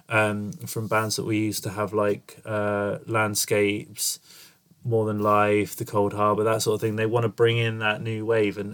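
A young man talks into a podcast mic, his voice stuffy and nasal from a cold. He rambles casually, swearing in passing, at a mid pitch. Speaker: podcast host. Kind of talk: casual podcast intro monologue.

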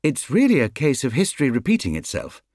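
A man's voice: medium pitch, huge pitch swings, fast, a little mellow, no room sound, studio quality, voice pushed up. A British man voicing a well-meaning married woman who is anxious to sound positive in a storybook. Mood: forced enthusiasm. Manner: hasty, trying to sound enthusiastic.

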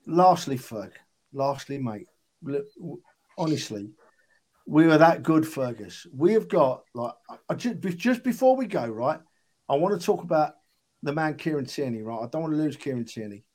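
A man's voice: on the high side, lively intonation, moderate pace, a little mellow. A man of about sixty, chatting casually and earnestly as a football fan on a podcast, emphatic and matey. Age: 60s. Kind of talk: casual chat.

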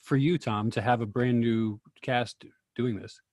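A man's voice: medium pitch, steady tone, unhurried, a little mellow, no echo, studio quality. A man moderating a casual feedback discussion in an encouraging way.

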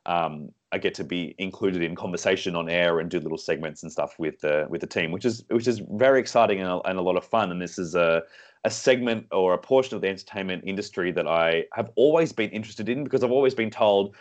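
An Australian man with a hoarse voice from being sick, explaining things conversationally as an interview guest, often repeating words as he goes. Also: low-pitched, fast talker.

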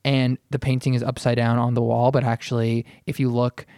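A man chats as a podcast panelist with a noticeable fry in his mid-pitched voice. He is informal and slightly wry, rephrasing himself as he explains. The sound is close and dry. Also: flat delivery, brisk, mellow, no room sound. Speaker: podcast panelist and art writer. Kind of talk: casual panel discussion.

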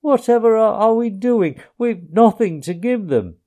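A man's voice: sits high, slow pace, pitched above the performer's own voice, heavily acted. A man voicing an eager, easily flustered male mole. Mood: eager, fretful. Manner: excited, anxious.

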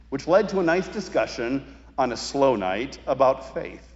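An American man in his sixties preaching a sermon as a pastor, speaking deliberately with emphatic pauses and phrases that fall away. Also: medium pitch. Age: 60s. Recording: muffled, reverberant hall recording.

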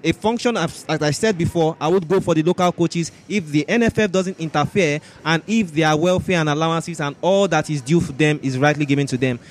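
Male podcast panelist, moderately high voice, insistent sports debate delivery. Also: fairly quick, few pauses.

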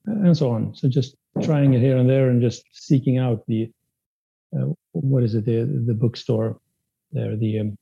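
Hesitant soft-voiced man, native Spanish speaker. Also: medium pitch, unhurried, gravelly, slightly rough, dull audio. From Spanish-speaking country, raised in Sweden. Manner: hesitant, many restarts and fillers. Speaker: guest. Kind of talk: casual interview.

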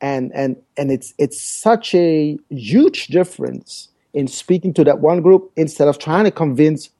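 A man's voice: on the high side, expressive, a little slow, dark tone. A man whose first language is Dutch, speaking English in a podcast interview as the guest, often repeating words as he searches for phrasing.

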